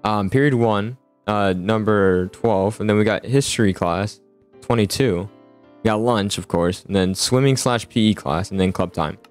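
A young man with a fairly low voice hosts a casual, improvised game roleplay. He rambles, repeats phrases and stumbles into his sentences. Soft music plays underneath. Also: normal speed. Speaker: host of a gaming roleplay. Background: background music.

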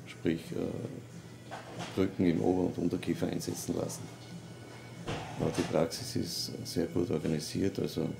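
A middle-aged man from Austria gives a formal testimonial, stopping on fillers. His voice is very low and heavily gravelly, held almost flat. Room noise sits clearly behind him. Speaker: patient. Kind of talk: interview testimonial. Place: office, indoors.

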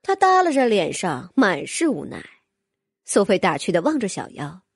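Expressive woman narrator, slightly husky. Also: medium pitch, normal speed, dry recording, studio quality. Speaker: audiobook narrator. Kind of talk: scripted expressive fantasy story narration.

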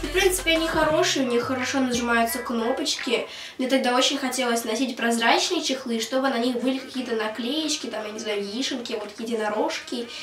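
A woman's voice: on the high side, fairly quick. A thirteen-year-old girl from Russia chatting casually to camera as a video blogger, informal and rambling in a girlish way. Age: 13 years old. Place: small attic room at home. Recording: roomy echo, distant mic, music behind. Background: background music.

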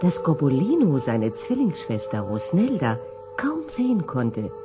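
A woman narrating a scripted children's story in an expressive, dramatic manner. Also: deep voice, unhurried, over music.

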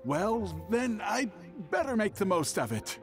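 A man's voice: high-pitched, big pitch swings, unhurried, faint music behind, full character voice. A man playing a nervous, cowardly young swindler in an anime game, stammering and hesitant. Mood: uneasy. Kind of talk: anime game character dialogue.

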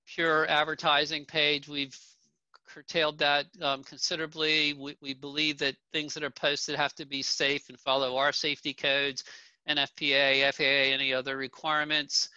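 A man's voice: on the high side, little pitch movement, moderate pace, sharp tone, clean tone. A middle-aged man presenting slides at an online association town hall, plain-spoken with frequent ums and restarts. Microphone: video call webcam. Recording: dull, dry video call audio.

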